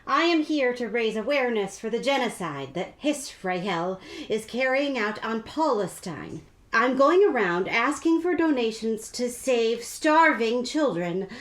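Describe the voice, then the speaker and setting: Woman playing an earnest, high-voiced young volunteer. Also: expressive. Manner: earnest, measured. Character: earnest young cat charity volunteer, polite but firm. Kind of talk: comic radio play acting.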